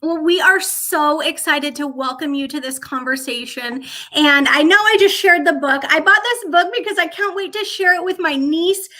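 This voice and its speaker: A woman's voice: high voice, normal speed, few pauses, bright, forceful tone. A woman hosting a livestream on a video call, bubbly and effusive. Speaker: host.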